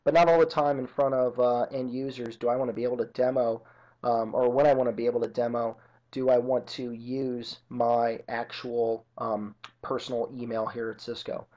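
A man narrating an informal software tutorial as an instructor, explaining each step methodically. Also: medium pitch, little pitch movement, normal speed. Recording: dull lo-fi computer screen-recording audio.

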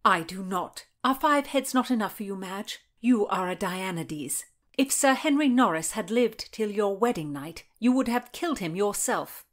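A woman voicing a sharp-tongued, fiercely loyal Tudor lady-in-waiting, earnest and scolding, in a narrated historical story. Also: mid-range voice, animated, moderate pace, close to an everyday voice. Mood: scornful.

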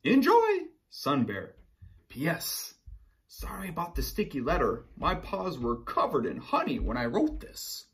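Man as sun bear, storybook, generous, hearty, stretched words, very lively intonation. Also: a little high, unhurried, voice pushed up, full character voice. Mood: cheerful.

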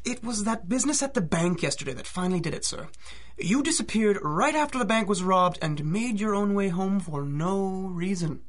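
High-pitched, nervous, deferential chauffeur. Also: male voice, expressive, normal speed. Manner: hesitant, deferential, stammering. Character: nervous, deferential male chauffeur to a rich employer. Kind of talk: 1930s-style radio drama acting.

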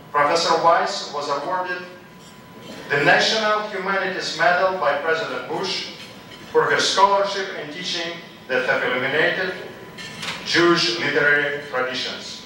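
Middle-aged male host, formal and bright; cavernous hall, distant pickup. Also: a little high, a little hiss or hum. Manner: measured, ceremonial reading. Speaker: event host introducing speaker. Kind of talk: formal introduction. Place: large banquet hall. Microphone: handheld mic. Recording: echoey hall, mic from far off.

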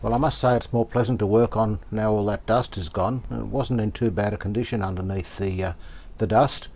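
A man giving casual, unhurried commentary as he works, thinking aloud. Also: on the low side, steady tone, darkish tone. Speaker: host, radio restorer. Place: small cluttered indoor workshop. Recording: clear background noise, home workshop.

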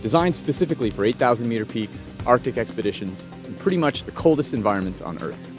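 A man's voice: mid-range voice, lively intonation, fast, over music. A man in his thirties, an athlete ambassador, enthusiastically presenting winter mitts outdoors in the snow. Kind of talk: product presentation. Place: outdoors on a snowy mountain.

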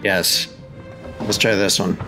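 A man's voice: low-pitched, steady tone, slow, over music. A man hosting a casual gaming let's-play, with playful interjections and exclamations. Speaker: gaming host.

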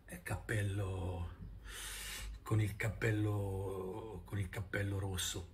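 Deep-voiced middle-aged man, noisy recording. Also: flat delivery, slow. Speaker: video blogger. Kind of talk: casual rambling vlog. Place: indoor room. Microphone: phone at arm's length. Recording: noisy phone video played back.

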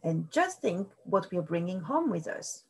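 A woman voicing a clever, cheerful male fox in a storybook, brisk and cheerful. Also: fairly low pitch, very animated, breathy edge, heavily acted.

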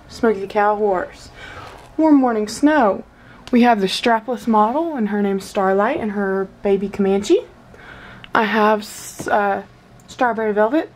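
A woman's voice: mid-range voice, darkish tone, slight background noise. A teenage girl casually filming a tour of her toy horse collection in her bedroom, chatty and gushing with lots of fillers. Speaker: vlogger. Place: bedroom at home. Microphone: handheld camera mic.

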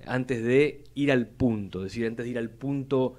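A young man with a Buenos Aires accent talks policy at a studio microphone. His speech is formal and measured, with frequent restarts as he builds long sentences. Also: medium pitch, a little mellow. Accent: Buenos Aires Spanish. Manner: formal, repeats words while building long arguments. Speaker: city legislator, guest. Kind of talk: measured policy talk on live radio. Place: radio studio. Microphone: studio mic on boom arm.

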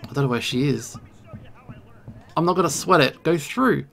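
A video game streamer talks through play in a let's play style. The voice sits at a medium pitch with plenty of gravelly fry. The delivery is jittery and anxious, like someone muttering to themselves as they go. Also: moderate pace, fairly bright tone. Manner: nervous, jittery self-talk.

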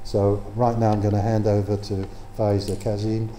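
A man's voice: on the low side, flat delivery, moderate pace, dark tone. An older man introducing a talk in a lecture room, speaking plainly and personally with some fillers and restarts. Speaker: transplant patient introducing the speaker. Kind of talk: spoken introduction at a public talk. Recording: echoey lecture room with faint noise.

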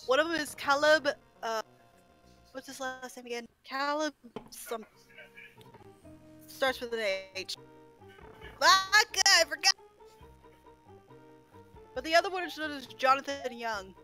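A woman with a bright, slightly high voice chats casually over a retro game stream. She laughs often and sometimes trails off while hunting for a word. Soft music plays behind her. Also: measured pace, pauses often, poor audio. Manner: chatty, laughs often, searches for words.

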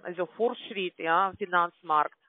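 A woman with a non-native German accent gives an explanatory phone sales pitch as a call-centre agent, often adding tag questions to confirm. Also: unhurried. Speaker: call-centre agent selling online trading. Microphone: telephone call. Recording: tinny, band-limited phone line.

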